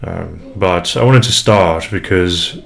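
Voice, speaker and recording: Man, young, from Brazil, Portuguese first language, very low voice, halting and thoughtful, home desk mic. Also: vocal fry, a little hiss or hum. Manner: hesitant, many fillers and restarts, reflective. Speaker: English tutor and vlogger. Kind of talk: casual first vlog introduction. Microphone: USB desk mic, unprocessed.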